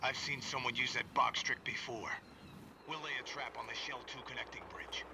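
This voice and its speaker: An actor playing a curt enemy commander giving orders over a radio link. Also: male voice, mid-range voice, steady tone, normal speed, recorded from a distance, background noise, close to an everyday voice.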